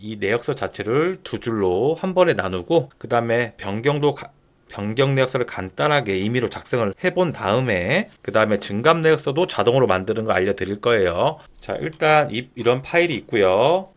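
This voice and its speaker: A man giving an informal screen-share software tutorial, going step by step and often posing questions that he then answers himself. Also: mid-range voice, lively intonation, fairly quick.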